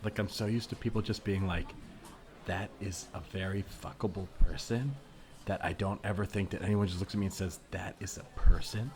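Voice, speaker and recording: Man playing a low-voiced, self-absorbed flirty woman. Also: steady tone, brisk, darkish tone. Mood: earnest, overwhelmed. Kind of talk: improvised comedy dialogue. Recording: noisy remote recording.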